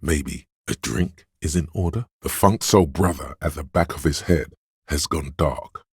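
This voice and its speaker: A man's voice: measured pace, no room sound, clean studio sound, full character voice. A man voicing a video game character, a sleazy, hedonistic inner voice that craves drugs and thrills, insinuating and savoring its words theatrically. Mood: sly, indulgent. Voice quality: very deep, gravelly, husky.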